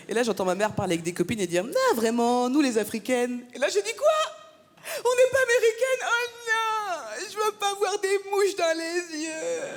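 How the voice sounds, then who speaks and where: A woman's voice: fairly high pitch, slight background noise. A woman from France performing a stand-up comedy set on a theatre stage, teasing the crowd with punchy banter. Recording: live gala, long hall reverb.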